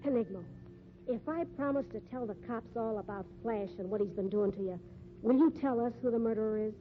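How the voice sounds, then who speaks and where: A woman's voice: a little high, a little fast, close to an everyday voice. An actress playing a bold, shrewd circus sideshow woman in a 1950s radio mystery, scornful and slangy. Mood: defiant, bargaining. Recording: muffled, noisy old radio recording.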